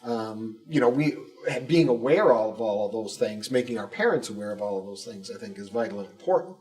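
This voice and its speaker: A man co-hosting an informal panel discussion, speaking haltingly and repeating himself for emphasis. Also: on the low side, lively intonation, a little mellow, far from the mic, low-quality recording. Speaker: communications director co-hosting a district show.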